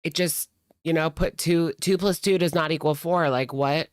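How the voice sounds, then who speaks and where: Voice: medium pitch, fairly even intonation, moderate pace, dry recording, studio quality. A streamer giving casual reaction commentary in a gossipy, sardonic, conversational way.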